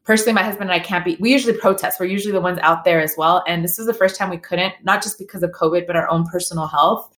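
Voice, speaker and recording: Woman guest, 30s, from El Salvador by way of Los Angeles; slightly low voice, reflective, halting delivery. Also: fairly bright tone. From El Salvador, raised in Los Angeles. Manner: reflective, earnest, with frequent fillers and restarts. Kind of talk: conversational interview.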